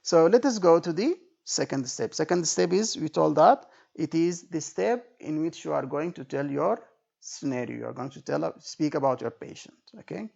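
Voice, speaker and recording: A man teaching as an exam tutor in an informal spoken lecture, with a creaky voice, repeating points and often checking that the listener follows. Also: fairly high pitch, lively intonation, normal speed, a little mellow. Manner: didactic, repetitive, checking understanding with frequent okay and right. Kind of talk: informal spoken lecture with role-played example phrases. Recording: dull lo-fi recording.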